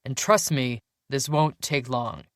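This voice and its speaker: Woman putting on a gruff, bossy big man. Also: rumbling low, slow, dry recording, clean studio sound, heavily acted. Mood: stern. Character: big, scowling, intimidating male councilman boss.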